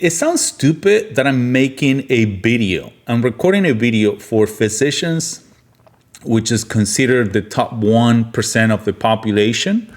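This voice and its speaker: A man from Argentina hosts an unscripted, opinionated monologue, speaking bluntly and emphatically and often repeating himself. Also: medium pitch. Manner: blunt, emphatic, repetitive, swearing. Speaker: podcast host, physician.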